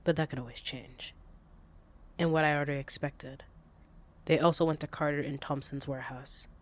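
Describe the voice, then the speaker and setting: Man acting a higher-pitched, businesslike crime lieutenant. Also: steady tone, a bit bright, natural voice. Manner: measured, businesslike. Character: matter-of-fact male crime lieutenant briefing his boss. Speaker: voice actor. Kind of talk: audio roleplay drama acting.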